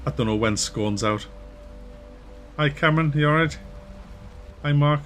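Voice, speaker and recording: A man with a Wearside Mackem accent from near Durham, casually chatting with viewers during a game stream, relaxed and chatty, often laughing and trailing off. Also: fairly high pitch, a little slow, faint music behind. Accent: Wearside (Mackem) North East English. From North East England, near Durham. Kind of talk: casual live-stream chat reading viewer comments.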